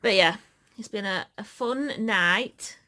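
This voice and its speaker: A woman's voice: medium pitch, slow pace, sharp tone. A woman chatting casually as a vlogger. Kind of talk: casual chat. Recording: clear background noise and clicks.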